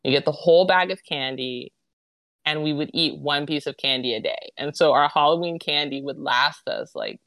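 A young woman from Southern California, a guest on a podcast, talking in a giggly, animated way. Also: low-pitched, moderate pace, dry recording. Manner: giggly and animated, with many fillers. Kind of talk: casual chat.

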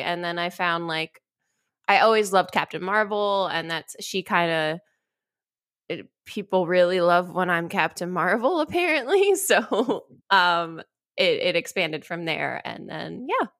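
Lowish-voiced young woman, close dry sound. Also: expressive, unhurried, fairly bright tone, no room sound. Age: 20s. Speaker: podcast guest, cosplayer and actress. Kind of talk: casual chat.

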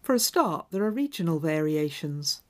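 A woman delivers a scripture reading and sermon. Her voice sits fairly low, and she speaks in a measured, clear, liturgical way. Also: sing-song. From Devon, England. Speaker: preacher.

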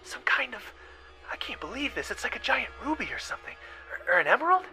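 A man's voice: very high-pitched, sing-song, fast talker, sharp tone, soft background music, voice pushed up, heavily acted. A man voicing a nervous, profane young woman thief in a story, whispering and slangy. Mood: awed whisper.

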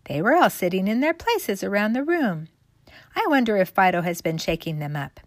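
A woman's voice: mid-range voice, sing-song, moderate pace, no room sound, clean studio sound, natural voice. A woman voicing a puzzled, scolding mistress of some dolls in a storybook, chiding and exclaiming.

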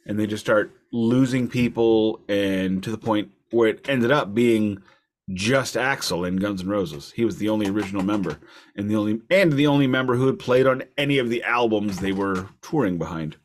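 A man's voice: on the low side, moderate pace, slight background noise. A man co-hosting a music podcast, talking in a casual, explanatory, storytelling way. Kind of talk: casual album discussion.

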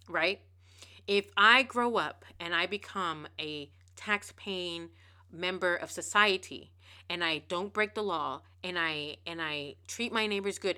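A woman hosting a podcast, giving a casual motivational monologue. She talks fast and emphatically, repeating words and restarting phrases. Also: on the low side, pushed and bright. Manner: emphatic, preachy. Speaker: podcast host.

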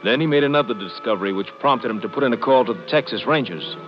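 Man narrating a 50s radio show, scripted story read, dull old recording, loud music behind. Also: mid-range voice, expressive, fast.

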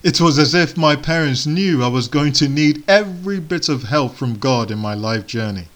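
A man whose first language is Igbo, giving a sermon in slow, measured phrases with solemn pauses. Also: on the high side, animated, a bit bright. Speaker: preacher.

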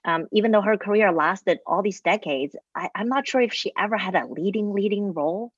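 A woman's voice: mid-range voice, fairly quick, husky, dry recording. Laughing woman, dry muffled sound. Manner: laughs while talking. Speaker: actress on a panel. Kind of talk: casual anecdote. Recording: dull, dry audio.

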